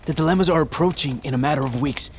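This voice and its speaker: A man's voice: on the high side, quick pace, slight background noise, lightly acted. An actor playing a shy, earnest young nobleman with a hesitant, stammering delivery in a fantasy audio drama. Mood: wistful, earnest. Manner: hesitant, stammering, soft-hearted.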